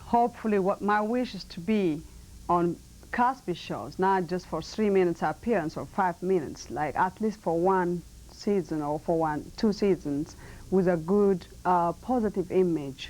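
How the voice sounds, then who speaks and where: A woman's voice: lowish voice, darkish tone, poor audio. Ethiopian-accented woman, earnest and emphatic. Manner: earnest, emphatic, with halting non-native phrasing. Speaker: model and actress. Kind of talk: TV interview. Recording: 1990 TV broadcast.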